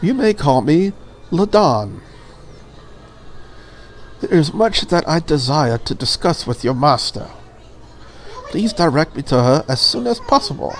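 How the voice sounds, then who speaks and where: A man's voice: fairly high pitch, sing-song, measured pace, pauses often, slight background noise. Man putting on a stately dragon-girl envoy. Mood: imperious. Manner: stately, commanding, formal. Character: haughty, formal female dragon envoy.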